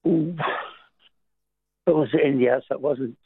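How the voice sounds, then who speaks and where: A man's voice: mid-range voice, takes it slowly, creaky, husky, no room sound. A man calling in to a radio quiz, hesitant and good-humoured as he guesses. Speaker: caller. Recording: tinny phone line.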